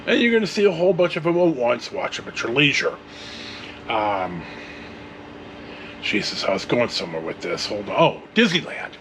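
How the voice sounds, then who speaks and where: A man's voice: medium pitch, sing-song, moderate pace, pauses often, a bit bright, slight background noise. A man in his sixties with a Californian American accent, telling a casual, meandering story as host, with digressions and mild swearing. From California, United States. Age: 60s. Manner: meandering, digressive, mildly profane.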